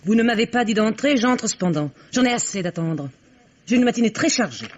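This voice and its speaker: An actress playing a domineering, indignant middle-aged wife in a French radio mystery play, with an imperious manner. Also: female voice, on the high side, animated, a little fast, darkish tone. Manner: imperious, outraged, talks over others. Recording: muffled old French radio recording.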